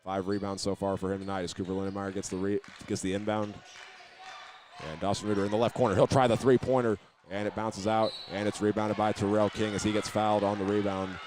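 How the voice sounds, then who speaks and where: Low, even-toned American man calling basketball. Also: fast, a little hiss or hum. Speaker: play-by-play announcer. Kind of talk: live basketball radio commentary. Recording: clear gym ambience and ball bounces. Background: live basketball game in a high school gym.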